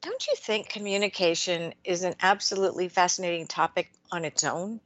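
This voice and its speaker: A woman hosting a radio interview, speaking in a measured, reflective way and asking long framing questions. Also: on the low side, fairly bright tone, no echo. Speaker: radio show host.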